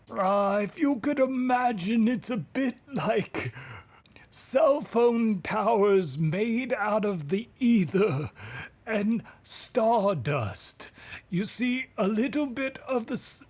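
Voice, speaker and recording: A man playing an ancient, jolly, chatty Santa Claus with a German accent, explaining things in a halting, slightly fussy way. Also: sits high, a little mellow, full character voice. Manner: halting, explanatory, stumbling over words. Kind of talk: improvised comic character interview.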